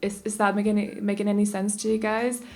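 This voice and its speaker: A woman's voice: medium pitch, little pitch movement, brisk, darkish tone. Chatty Danish woman, rambling voice-over. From Denmark. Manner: chatty and rambling, many restarts and asides. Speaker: YouTube creator. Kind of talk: casual voice-over chat during a game speed build.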